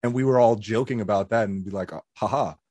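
A man's voice: on the low side, a little fast, darkish tone, slightly rough, dry recording. A young man, a voice actor, chatting casually in an interview and laughing often. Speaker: voice actor guest.

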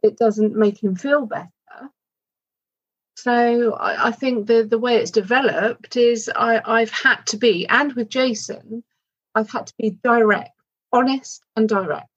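A woman's voice: a little high, measured pace, breathy edge, dull audio. A woman from the UK answering in an interview, frank and plainspoken, checking in with her husband as she goes. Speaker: podcast guest. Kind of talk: candid conversational interview.

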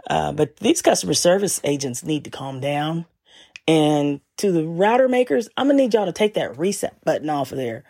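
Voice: mid-range voice, darkish tone. A podcast host telling a story in a casual monologue, laid-back and wry with frequent chuckles.

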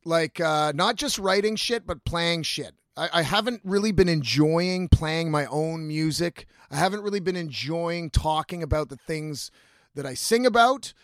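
A man's voice: on the high side, moderate pace, no echo. Candid man, dry close sound. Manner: candid, rambling, sweary. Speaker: podcast host, singer-songwriter. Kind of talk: casual confessional monologue.

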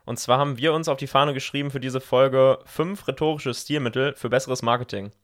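A man's voice: mid-range voice, fairly even intonation, a little fast. A man, hosting a podcast interview in a casual, conversational style.

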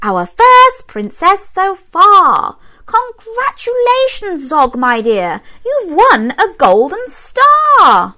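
A bossy dragon schoolmistress, put on by a woman reading aloud barks her lessons in a high, bright, swooping voice. She stresses each command like a strict storybook teacher. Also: huge pitch swings, takes it slowly, heavily acted. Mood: proud. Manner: bossy, emphatic teacher's delivery. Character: stern female dragon schoolteacher.

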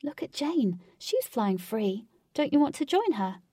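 Woman playing a kind young heroine, encouraging, gentle, storybook voice. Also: high-pitched, big pitch swings, unhurried, husky, no room sound, clean studio sound, voice pushed up, exaggerated voice.

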